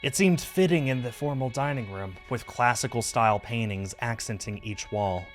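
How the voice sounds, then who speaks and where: A man's voice: mid-range voice, normal speed, soft background music. A man reading a visual novel aloud on a stream as scripted story narration.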